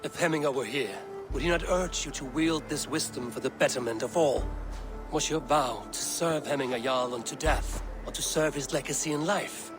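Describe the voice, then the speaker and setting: Man acting a low, measured Viking warrior. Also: music in the background, natural voice. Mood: firm, persuasive. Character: Viking warrior raider, grown man, steady and plainspoken. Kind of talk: dramatic game cutscene dialogue.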